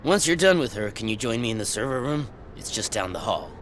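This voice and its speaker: A man's voice: medium pitch, very animated, brisk, a bit bright, a little hiss or hum. An actor playing a suspicious male security guard, talking in a curt, threatening way.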